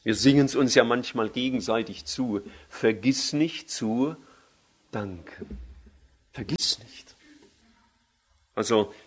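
Emphatic German preacher giving a sermon. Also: male voice, husky. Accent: German, with a native dialect. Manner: emphatic, rises in pitch on key phrases and slows for scripture.

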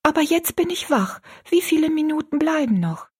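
A woman's voice: high voice, animated, moderate pace, voice pushed up. Woman voicing earnest little pious girl. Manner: earnest, gently explaining.

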